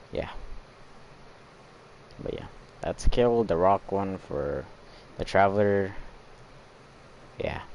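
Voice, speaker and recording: A man's voice: fairly low pitch, gravelly, dark tone, a little hiss or hum, poor audio. A young man giving casual gameplay commentary on a stream, rambling hesitantly and often trailing off. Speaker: game live streamer.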